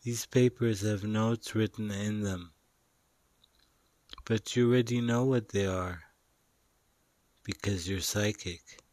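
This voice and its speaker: A man narrating a guided meditation in a soothing, instructional way, pausing between short phrases. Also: lowish voice, fairly even intonation, darkish tone, no echo.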